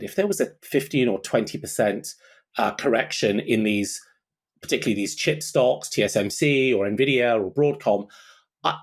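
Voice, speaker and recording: A man with an average-pitched voice answers as an expert guest. He is conversational and explanatory, reasoning aloud one step at a time. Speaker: expert guest, technology analyst.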